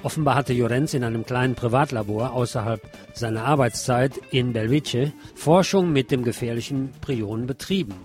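A man's voice: medium pitch, normal speed, darkish tone, soft background music. A man hosting a radio news programme, reading reports and adding his own commentary. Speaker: radio host.

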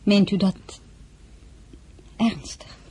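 A woman's voice: medium pitch, fairly even intonation, very slow, mellow, slightly rough, exaggerated voice. An actress playing a reserved noblewoman in a radio play, speaking hesitantly and politely. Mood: cautious. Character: reserved noblewoman addressed as madame.

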